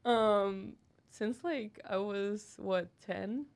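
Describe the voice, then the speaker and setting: A woman's voice: mid-range voice, extremely slow, creaky. A young woman from Brazil chats easily as a guest, dropping fillers as she goes. Her talk stays loose and conversational. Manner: relaxed, chatty, with frequent fillers. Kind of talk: casual conversation.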